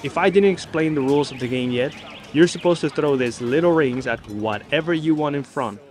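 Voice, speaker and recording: A man's voice: on the high side, a little fast. Young man narrating a travel voice-over. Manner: enthusiastic, explanatory.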